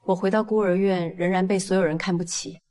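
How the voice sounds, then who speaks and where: A woman's voice: medium pitch, fairly even intonation, brisk, a little mellow, natural voice. A woman playing a cold, vengeful young criminal in an audio drama, coolly taunting and unrepentant. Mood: cold, scornful. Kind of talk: dramatized audio fiction acting.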